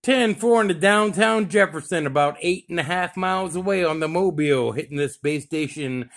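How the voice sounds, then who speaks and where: A man with a slightly high voice chats casually over CB radio. He uses operator lingo in an easy, conversational way. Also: moderate pace, a bit bright. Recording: CB radio chatter, radio sound.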